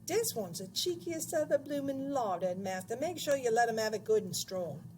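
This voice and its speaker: A woman voicing a tiny, vicious old woman in a storybook, shrill and screeching, goading excitedly, with noise on the recording. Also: normal speed, close to an everyday voice. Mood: spiteful.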